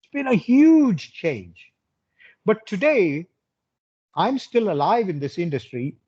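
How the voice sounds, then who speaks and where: An older man in his sixties speaks with big swings of pitch and a rough, husky edge. He is emphatic, restating key phrases as he motivates young students. The sound is slightly dull and narrow. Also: big pitch swings, takes it slowly, mellow. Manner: emphatic, repeats phrases for stress. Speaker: hotel general manager, guest speaker.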